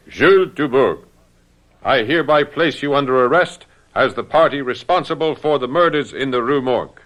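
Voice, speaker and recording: A man acts an officious, jealous police inspector in a radio mystery. He is pompous and accusatory, in a mid-range voice with very animated pitch. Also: moderate pace, lightly acted. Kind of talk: radio mystery drama acting.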